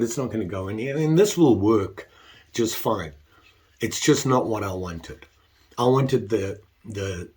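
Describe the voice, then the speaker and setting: An older man talking casually about his leatherwork, congested while getting over the flu, self-deprecating and rambling. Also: fairly low pitch. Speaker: leatherwork vlogger. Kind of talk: casual workshop chat.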